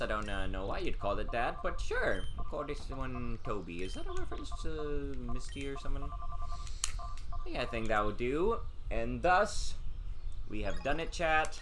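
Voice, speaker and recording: A man's voice: medium pitch, noisy background. A young man streaming a video game, chatting casually and rambling with many restarts. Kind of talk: casual gameplay commentary. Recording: game music under the voice. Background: game music.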